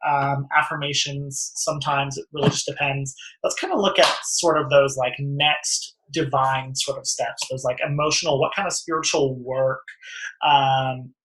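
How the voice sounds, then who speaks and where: A young woman hosting a casual tarot reading, chatting in an animated, slangy, teasing and emphatic way. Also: deep voice, roomy sound, a little hiss or hum, rough recording. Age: young adult. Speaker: host and tarot reader.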